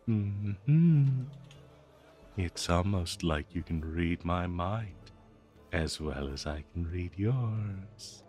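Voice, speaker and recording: A possessive cursed blade croons to its owner at a very slow, savoring pace. The male voice swings widely in pitch, melodramatic and lovestruck with a bloodthirsty streak, in the style of an intimate audio roleplay. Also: lively intonation, mellow, husky, faint music behind, exaggerated voice. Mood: cooing, sinister. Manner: melodramatic, cooing, slow drawn-out delivery with manic giggles. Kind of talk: theatrical audio roleplay monologue addressed to the listener.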